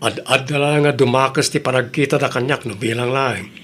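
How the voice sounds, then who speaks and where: A man's voice: medium pitch, fairly quick, a bit bright, close to an everyday voice. A man with a Filipino accent playing an aggrieved, earnest young grandson with a prison past in an audio drama. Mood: hurt, restrained. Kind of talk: audio drama acting in Ilocano.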